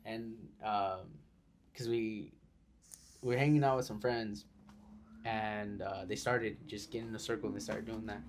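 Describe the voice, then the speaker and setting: A man's voice: on the low side, steady tone, moderate pace, slight background noise. A young man chatting casually, easygoing and self-deprecating, often laughing as he talks. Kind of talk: casual chat.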